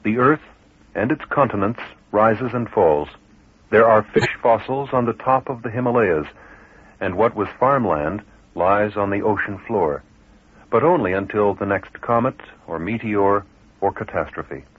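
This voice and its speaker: Lowish husky man, calm documentary narration, lo-fi radio sound. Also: measured pace. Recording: narrow lo-fi radio broadcast.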